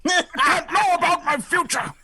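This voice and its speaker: An extremely high, sharp voice for a heartsick young suitor in an old radio comedy. He pleads dejectedly and theatrically, his pitch leaping around. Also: animated, normal speed, exaggerated voice. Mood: pleading. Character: heartsick, dejected young jobless bridegroom, dramatic. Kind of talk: hammy 1940s radio play acting.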